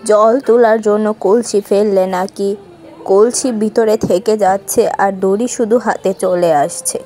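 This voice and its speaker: Casting: actress for middle-aged cartoon village mum, warm relaxed timbre, confiding, cautious, superstitious. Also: female voice, mid-range voice, unhurried, soft background music, natural voice. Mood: uneasy confiding. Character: superstitious, cautious old-fashioned village mother. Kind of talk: cartoon voice acting.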